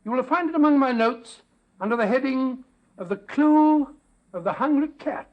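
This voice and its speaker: A genial old British doctor with a notably high voice. He reminisces in a chatty, avuncular, old-fashioned way, as in a 1940s radio drama on a vintage recording. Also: expressive, moderate pace, lo-fi sound. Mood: storytelling. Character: genial elderly English doctor, reminiscing storyteller.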